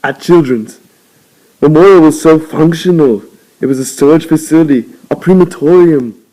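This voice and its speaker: A man's voice: a little high, normal speed, dark tone, rough recording. A man narrating a novel aloud as an amateur reader.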